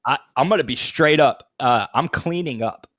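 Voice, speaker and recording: A man's voice: medium pitch, moderate pace, fairly bright tone, dry recording, studio quality. A man in his thirties chatting casually as a podcast co-host, informal and swearing freely with lots of dude slang. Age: 30s. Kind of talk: casual chat.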